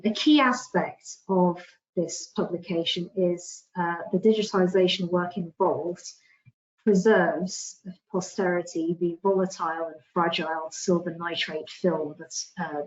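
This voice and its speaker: A woman giving an online slide presentation in a hesitant, informal way over lo-fi call audio. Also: lowish voice.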